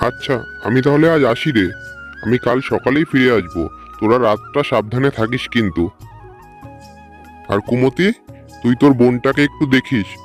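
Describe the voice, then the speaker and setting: A man acting as a kindly middle-aged village father. The voice sits at a medium male pitch and belongs to a cartoon folk tale, with soft music underneath. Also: moderate pace, pauses often, close to an everyday voice. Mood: gentle concern. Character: village father and wandering hungry holy man.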